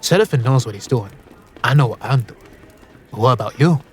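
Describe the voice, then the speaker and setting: An actor playing a seasoned, wry gambler mentor in a fantasy audio drama, colloquial and instructive. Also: male voice, mid-range voice, expressive, a little fast, a little hiss or hum, close to an everyday voice. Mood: serious, mentoring.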